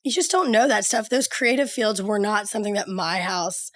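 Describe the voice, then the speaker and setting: Hoarse, raspy Southern woman from Georgia, chatty podcast guest, close dry sound. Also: medium pitch, moderate pace, dry recording. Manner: lively, chatty, laughs easily. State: hoarse from a cold. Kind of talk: casual chat.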